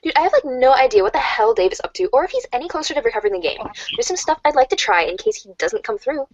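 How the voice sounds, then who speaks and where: A woman's voice: sits high, sing-song, quick pace, no echo. A woman playing a casual, frank teenage girl in an amateur dramatic reading, with slangy conversational delivery on a slightly dull recording. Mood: frank, exasperated. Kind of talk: amateur dramatic reading of webcomic chat dialogue.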